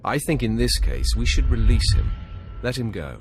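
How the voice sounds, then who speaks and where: An actor playing a cool, calculating young Sith acolyte in a video game, measured and sardonic. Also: male voice, medium pitch, sing-song, over music. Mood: reasoning. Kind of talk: video game cutscene acting.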